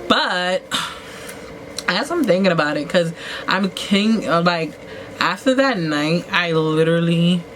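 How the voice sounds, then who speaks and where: Woman rambling through a grwm chat at home, lots of like, pitch jumps way up, noisy recording. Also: animated, measured pace, fairly bright tone. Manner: rambling, full of "like" and restarts; swoops up in pitch for emphasis. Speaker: vlogger. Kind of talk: casual storytelling while getting ready.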